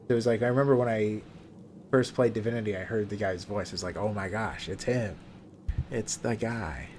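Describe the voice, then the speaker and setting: A man casually chatting while he plays a game on a live stream, talking in a relaxed, soothing way and often restarting his sentences. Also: fairly low pitch, slight background noise. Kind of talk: casual live gameplay commentary. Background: game music.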